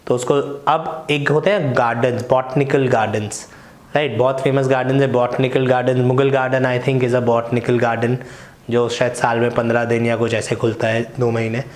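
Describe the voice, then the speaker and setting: A man's voice: mid-range voice, level tone, moderate pace, echoey room. A young adult man with an Indian English accent teaches vocabulary patiently, switching between Hindi and English and often asking "right?". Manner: explains patiently, asks rhetorical questions and checks in with "right?". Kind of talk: explanatory lesson mixing Hindi and English.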